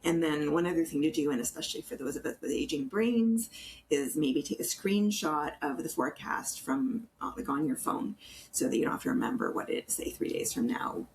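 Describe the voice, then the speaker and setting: Lowish-voiced Canadian woman, faint noise behind. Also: expressive, brisk, a little mellow, low-quality recording. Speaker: podcast co-host. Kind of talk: casual explanatory chat, listing tips.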